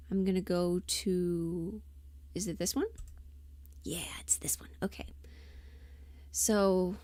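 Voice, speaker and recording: Mellow, slow-paced woman murmuring on a livestream. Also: on the low side, dry recording. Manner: halting, self-deprecating, thinking aloud. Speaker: livestream host, voice performer. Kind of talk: casual livestream chat, muttering to self.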